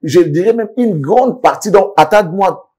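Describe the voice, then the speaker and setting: A man's voice: sits high, animated, a little slow, warm tone. A man commenting in a casual studio discussion, switching between French and Lingala, often restarting and repeating words. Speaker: commentator guest.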